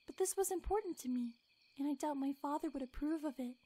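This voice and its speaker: Timid young female cartoon pony, played by a woman: very high-pitched, gentle, earnest, hesitant and apologetic. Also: quick pace, lightly acted. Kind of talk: animated fan drama acting.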